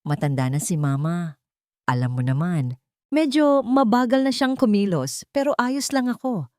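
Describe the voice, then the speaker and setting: A woman's voice: medium pitch, big pitch swings, normal speed, dry recording, studio quality, voice pushed up, lightly acted. A woman voicing a cruel, scheming daughter-in-law in an audio drama, needling and falsely sweet. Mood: falsely concerned. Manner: sharp, needling, feigning concern.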